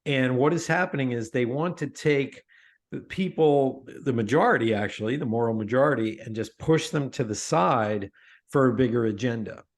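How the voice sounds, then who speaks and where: A middle-aged man talking as a guest in a casual interview, emphatic and animated, often restarting his sentences. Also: medium pitch, moderate pace. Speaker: podcast guest, author.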